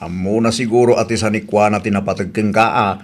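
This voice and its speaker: A man's voice: on the low side, fairly even intonation, quick pace, lightly acted. A man with an Ilocano accent acting a reproachful, plain-spoken relative who gives stern advice in a radio drama, in a scolding, exclamatory way. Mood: chiding.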